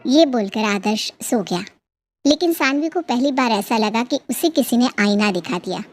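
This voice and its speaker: A woman's voice: on the high side, lively intonation, moderate pace, soft background music. A woman narrator reading a scripted family story.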